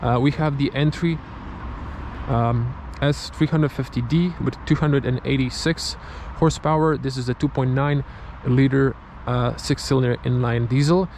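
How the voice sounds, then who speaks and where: A man's voice: mid-range voice, steady tone, normal speed, darkish tone. A man with a Croatian accent, a native Croatian speaker, gives casual car review commentary in English as a second language, sometimes searching for words. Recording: clear vehicle and ambient noise. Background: car and ambient noise.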